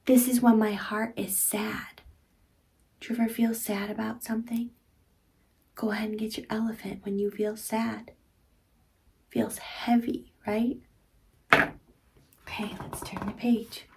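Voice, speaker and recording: A woman's voice: fairly high pitch, little pitch movement, measured pace, creaky, pauses often, a little hiss or hum. A woman in her thirties reading a picture book aloud to young children, speaking expressively in simple teacherly phrases and addressing the child directly.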